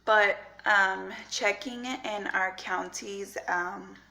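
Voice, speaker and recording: A young woman vlogging at home, her voice raspy and gravelly with fry after just waking up. She chats casually and rambles, dropping lots of fillers as she walks through her house. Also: mid-range voice, fairly even intonation, very slow, pushed and bright, rough recording. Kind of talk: casual vlog chat. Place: at home, indoors.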